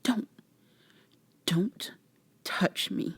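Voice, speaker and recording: A woman playing a defiant, sharp-tongued captive human girl in an ASMR vampire roleplay, curt and sarcastic. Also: very slow. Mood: tense, defiant. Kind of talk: soft, breathy ASMR roleplay acting.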